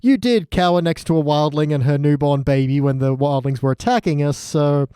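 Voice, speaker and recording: Higher-voiced man chatting on a podcast. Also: a little fast, warm tone, smooth, dry recording, studio quality. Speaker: podcast host. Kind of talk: casual chat reviewing a TV show.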